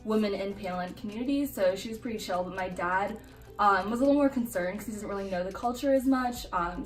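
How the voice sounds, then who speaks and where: A nineteen-year-old woman answering interview questions in an informal way, gently qualifying what she says. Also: mid-range voice. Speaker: college student, sorority member. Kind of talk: casual personal interview answers. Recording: echoey, distant mic, music underneath.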